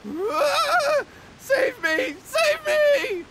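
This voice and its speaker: A man's voice: very high voice, big pitch swings, very slow, background noise, exaggerated voice. A man playing a nervous, scheming, cowardly young commoner in a video game, hesitant and pleading. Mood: terrified.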